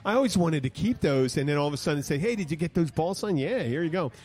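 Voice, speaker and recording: A man's voice: fairly high pitch, expressive, fast talker, a little mellow. A man hosting a casual podcast, telling a rambling, joking story with lots of restarts. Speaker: podcast host. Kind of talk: casual banter. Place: studio podcast room.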